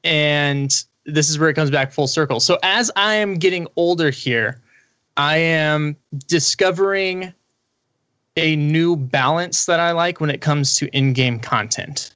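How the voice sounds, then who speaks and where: A man's voice: on the high side, unhurried, pushed and bright, dry recording. A man talking casually as a panelist in a gaming discussion. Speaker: podcast panelist.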